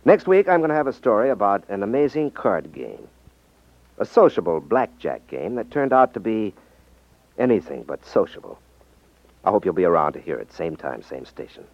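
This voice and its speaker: A dull, dry old radio recording of a male detective from a fifties crime drama. He is low-voiced and very animated, a sharp, self-assured ex-magician with a wry way of explaining. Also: moderate pace, dry recording. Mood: addressing listeners. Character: ex-magician turned rackets detective, confident, sharp, wry man.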